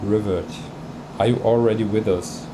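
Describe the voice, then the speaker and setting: A man's voice: lowish voice, fairly even intonation, normal speed, background noise. A man whose first language is Bosnian, hosting a music livestream in casual chat, hesitant and full of fillers and restarts. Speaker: livestream host reviewing music tracks.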